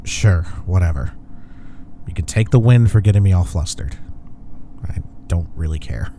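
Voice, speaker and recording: A man's voice: low voice, expressive, moderate pace, background noise. A young man acting as a smug, teasing office rival in an intimate roleplay audio. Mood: defensive. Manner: teasing, playful banter. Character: teasing, smug young male office rival softening toward his coworker.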